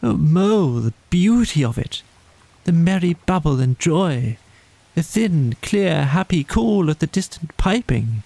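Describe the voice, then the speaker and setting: Man voicing a high, excitable storybook water rat. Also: slow pace, creaky, no room sound, exaggerated voice. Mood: entranced, yearning. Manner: breathless exclamations, yearning, lyrical. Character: excitable water rat, rapt and joyous, poetic. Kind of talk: bedtime storybook character voice.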